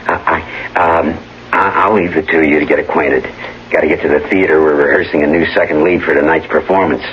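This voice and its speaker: An actor in a radio drama playing an anxious, devoted husband who speaks in a halting, earnest, pleading way. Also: male voice, rumbling low, steady tone. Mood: awkward, affable. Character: anxious, devoted husband, a Broadway stage star. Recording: dull, hissy old radio broadcast.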